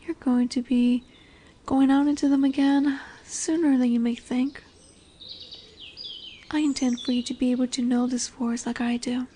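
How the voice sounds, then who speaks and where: A woman voicing a dominant but tender lamia in a fantasy roleplay audio drama, speaking softly and soothingly, often dropping into whispers. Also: high-pitched, level tone, normal speed, long pauses, a little hiss or hum, natural voice. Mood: instructive, protective. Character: dominant, tender female lamia, snake-bodied forest monster.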